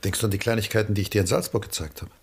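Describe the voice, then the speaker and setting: An actor playing a reserved, world-weary, melancholy middle-aged artist in a 1960s German radio play, measured and guarded. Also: male voice, on the low side, fairly even intonation, slightly rough.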